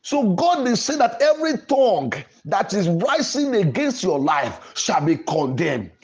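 A man's voice: high voice, very animated, a little slow. A man, a pastor, preaching and praying in an emphatic, declamatory way with repeated phrasing. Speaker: pastor, programme host. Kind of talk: Pentecostal sermon and prayer. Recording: music playing behind the voice. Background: music.

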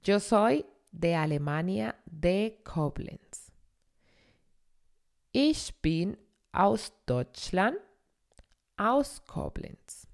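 A young woman teaches German in a podcast lesson, repeating each phrase slowly for learners. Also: medium pitch, sing-song, vocal fry, a little mellow. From Colombia.

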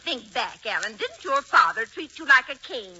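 An actress playing a kindly, chatty housewife neighbor in a 1940s radio sitcom. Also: female voice, high voice, sing-song, normal speed, bright, forceful tone, breathy edge, heavily acted. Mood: delighted. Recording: dull 1940s radio recording.

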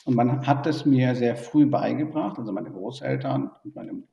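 A man's voice: medium pitch, moderate pace, gravelly, warm tone, far from the mic, lo-fi sound. A man from Burma, now living in Germany, speaking as an interview guest in a reflective, hesitant way with many fillers. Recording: echoey room, off-mic.

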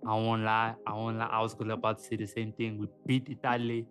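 A man, co-hosting a podcast in casual, slangy, animated chat. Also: fairly low pitch, fast talker. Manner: animated, slangy, often says "man" and "dog". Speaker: podcast co-host. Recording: music playing underneath.

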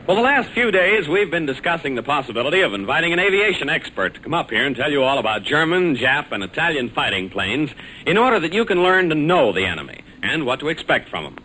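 A man's voice: on the high side, big pitch swings, fast talker, bright, forceful tone. An American man announcing and narrating in a scripted, formal and emphatic 1940s radio style. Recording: noisy old 1940s radio recording.

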